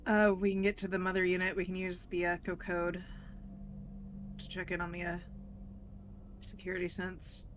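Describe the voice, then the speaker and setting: A woman's voice: on the low side, monotone, normal speed, long pauses, slight background noise. A woman playing a tabletop role-playing game, talking through her moves in casual game-table chat.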